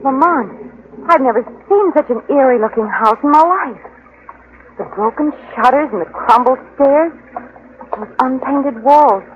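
A woman's voice: sits high, a little slow. A woman playing a plucky, kind-hearted lady companion in a 1940s radio drama. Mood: uneasy. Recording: muffled, noisy 1940s radio recording.

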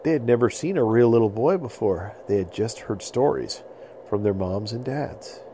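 Casting: male kids' podcast storyteller, dark mellow tone, medium pitch, simple explanatory delivery, faint noise behind. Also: expressive, normal speed. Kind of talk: improvised bedtime storytelling for children.

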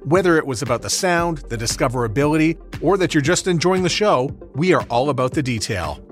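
Man, podcast producer, ad read, highish voice, animated intonation, faint music. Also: fairly quick. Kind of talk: promotional ad read.

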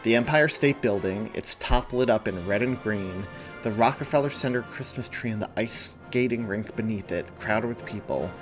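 A man's voice: lowish voice, moderate pace, over music. A man hosting a podcast and reading his own story aloud, moving between casual talk and narration. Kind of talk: casual podcast intro and audiobook-style narration.

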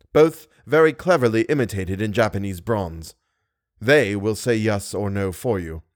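A man voicing a mad masked villain in an audiobook, taunting and menacing in a deliberate way. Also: on the low side, no echo, studio quality, natural voice. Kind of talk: dramatic audiobook character voice.

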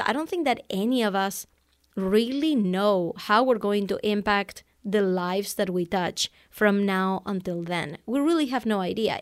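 A woman in her forties from Venezuela with a Venezuelan Spanish accent, a native Spanish speaker, hosting a solo podcast as an online business coach in an energetic, chatty way. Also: mid-range voice. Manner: energetic and chatty, quick laughs.

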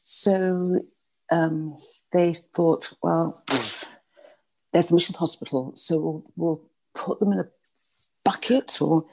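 A woman in her sixties from Nigeria with a well-spoken London English accent, telling her life story in an interview with halting restarts. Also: low voice, vocal fry, a little mellow, breathy edge, poor audio. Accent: English, London middle class. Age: 60s. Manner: rambling, halting storyteller with many restarts and fillers. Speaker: guest. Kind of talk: casual interview, personal storytelling.

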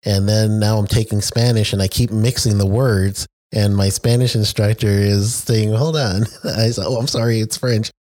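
A chatty, friendly man from the United States with a lowish voice. He talks easily and laughs often, recorded dry and close. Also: fairly even intonation, normal speed, few pauses, a little mellow, no room sound. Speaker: interviewer, safety trainer. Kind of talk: conversational interview.

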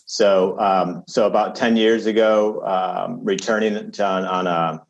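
A man from Chicago, a guest coach, chatting in a relaxed way with many restarts and fillers. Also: deep voice, a little slow, gravelly, muffled recording. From United States, raised in Chicago. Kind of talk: casual interview chat.

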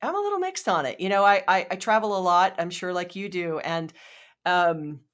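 Female podcast host, slightly low voice, chatty interviewer. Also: animated, normal speed, fairly bright tone. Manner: chatty, many fillers and restarts.

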